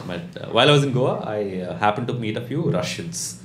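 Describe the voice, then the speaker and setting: A man in his thirties with a South Indian English accent performs stand-up comedy, conversational and deadpan, pausing and tagging lines with questions. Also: on the low side. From Bangalore, India. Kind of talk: stand-up comedy routine to a live audience. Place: small indoor comedy club. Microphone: handheld-type stage microphone on a stand. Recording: echoey live club, audience noise. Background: audience laughter.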